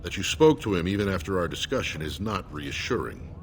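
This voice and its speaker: A man's voice: low-pitched, lively intonation, a little fast, slight background noise. An actor playing a stern, distrustful nobleman's aide in a fantasy video game, speaking formally and curtly. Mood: stern, demanding. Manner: formal, curt, commanding. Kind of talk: dramatic video game dialogue.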